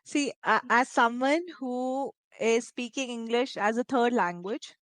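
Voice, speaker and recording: A woman's voice: on the high side, unhurried, a bit bright, no echo. A woman who speaks Malayalam as her first language, tutoring English in a one-on-one conversation lesson and talking in long encouraging turns. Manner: encouraging, talks in long flowing turns with "you know" and "right?".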